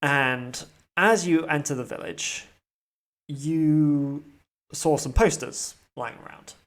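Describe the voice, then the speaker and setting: A British man running a tabletop game at an unhurried pace. His voice has a gravelly, creaky edge. He delivers his quips dry and deadpan. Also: a little high. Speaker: game master and podcast host.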